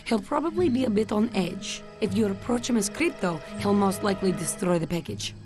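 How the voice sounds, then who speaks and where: A composed female spy from a video game, played by an actress, with a Russian accent. She briefs like a professional and teases dryly, in a mid-pitched voice. Music plays clearly behind her. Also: animated, fairly quick, natural voice. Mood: briskly businesslike. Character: cool, sultry female Soviet spy, wryly in control. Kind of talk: video game cutscene acting.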